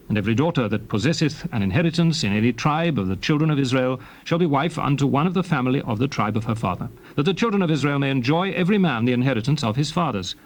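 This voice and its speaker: A male actor performs a calm prophet and leader in a scripture audio drama. His voice sits mid-range. He delivers judgment formally, with measured, restrained gravity. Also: medium pitch, lightly acted.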